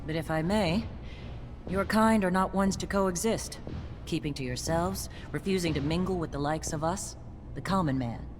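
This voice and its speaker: An actor playing a wary, stern army soldier in a video game, speaking in a measured, formal manner. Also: male voice, fairly high pitch, close to an everyday voice. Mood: guarded, distrustful. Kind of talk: video game dialogue acting. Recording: clear background noise and ambience.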